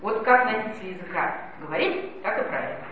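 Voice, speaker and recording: A woman's voice: medium pitch, expressive, brisk. Woman lecturing in an echoey hall. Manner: explanatory, addresses listeners directly. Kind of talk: spoken lecture on language policy. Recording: echoey distant lo-fi hall recording with noise.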